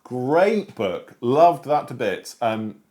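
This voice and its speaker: A man's voice: mid-range voice, big pitch swings, slow, mellow. A British man co-hosting a book discussion, chatting informally and using plenty of slang. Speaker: book discussion show co-host. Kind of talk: casual unscripted chat about books.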